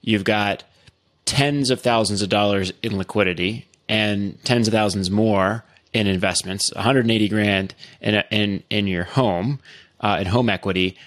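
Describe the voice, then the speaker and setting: A man's voice: lowish voice, moderate pace, a bit bright, no echo, studio quality. A man hosting a finance interview, asking probing questions and summing up the guests' finances, often restarting his sentences. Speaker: host, financial advisor.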